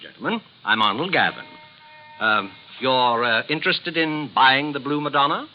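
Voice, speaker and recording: A man playing a polite art gallery owner in a 1950s radio drama, with a courteous, hesitant, halting delivery. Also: medium pitch, lively intonation, faint music behind, close to an everyday voice. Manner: courteous, halting, full of ums and pauses. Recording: muffled vintage radio recording, faint noise.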